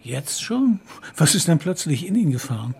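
An actor playing a courteous, inquisitive police commissario in a naturalistic radio drama. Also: male voice, a little high, sing-song, normal speed, vocal fry, a little mellow, slightly rough, full character voice. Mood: surprised.

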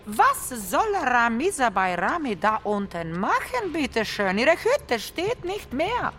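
A woman's voice: a little high, big pitch swings, takes it slowly, a bit bright, slight background noise, heavily acted. A woman playing an insistent female lawyer who argues urgently for her client in a radio play. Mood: urgent, pleading. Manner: urgent, protesting.

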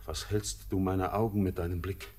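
A young actor playing an orphaned sailor, torn between pleading and dread, in a classic radio play. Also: male voice, low voice, level tone, moderate pace, darkish tone. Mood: anxious.

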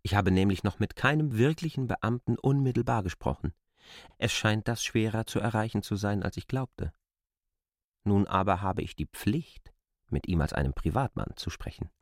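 An actor playing an insistent, polite but stubborn man in a literary radio drama, speaking formally and deliberately as he argues his case. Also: lowish voice, animated, no room sound, clean studio sound. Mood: insistent, measured.

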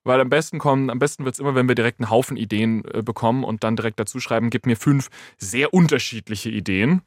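A man's voice: medium pitch, quick pace, no room sound. Man casually explaining, dry close sound. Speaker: expert guest on AI.

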